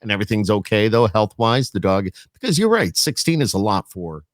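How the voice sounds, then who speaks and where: A man's voice: on the low side, very animated, brisk, studio quality. A man hosting a podcast, chatting casually with his guests and often echoing his own agreement. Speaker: podcast host interviewer. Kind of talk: casual chat interview.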